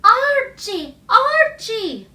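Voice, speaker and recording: A woman narrator puts on a happy, sweet dream woman from a storybook, calling out in drawn-out words. The voice is high and bright. It rings in an echoey room. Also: big pitch swings, exaggerated voice. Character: sweet, happy dead wife calling from afar. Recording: echoey room, distant mic.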